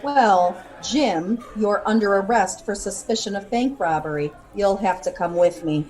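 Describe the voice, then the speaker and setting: A woman's voice: mid-range voice, unhurried, a little mellow, natural voice, poor audio. A woman playing a matter-of-fact police officer in an audio drama, direct and composed. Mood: composed, official. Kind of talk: scripted audio drama acting.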